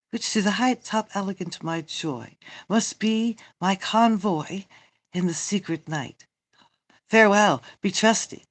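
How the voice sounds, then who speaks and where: A woman reading the part of a courteous, earnest young lover in an amateur Shakespeare play, in a halting, declamatory way. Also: medium pitch, no echo, natural voice. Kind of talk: amateur Shakespeare read-through.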